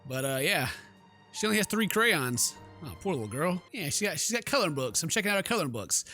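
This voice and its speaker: Bright, higher-pitched man doing game commentary. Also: sing-song, fast, soft background music. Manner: playful, exclamatory. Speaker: let's play host. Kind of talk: game commentary with dramatic read-alouds. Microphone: close microphone.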